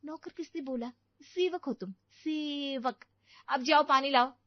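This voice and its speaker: A woman's voice: high-pitched, a little slow, no room sound, pitched above the performer's own voice. Woman doing a spoiled lazy young lady, bossy n entitled, story style, bit muffled. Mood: bossy teasing. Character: spoiled, lazy young married daughter on holiday.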